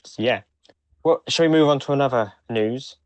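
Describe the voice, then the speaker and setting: A man co-hosting a podcast, talking casually in a hesitant, halting way with repeated starts. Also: mid-range voice, no echo. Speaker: podcast co-host. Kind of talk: casual chat.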